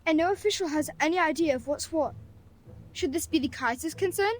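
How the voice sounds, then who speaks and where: A woman's voice: high-pitched, fairly quick, close to an everyday voice. A woman voicing a sassy, streetwise boy who mocks the rules with a sly, cynical delivery in a game. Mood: sardonic. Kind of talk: game voice acting.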